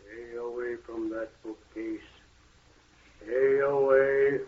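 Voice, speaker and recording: An actor playing a mysterious, menacing old man in 1930s radio style, giving slow, drawn-out warnings. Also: medium pitch, steady tone, natural voice. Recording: muffled tinny 1930s radio recording.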